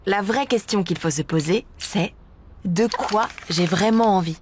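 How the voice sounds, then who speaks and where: A woman's voice: mid-range voice, measured pace, slight background noise, close to an everyday voice. A woman playing a friendly cartoon presenter who explains things to children in a didactic, encouraging, scripted way. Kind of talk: scripted educational cartoon narration.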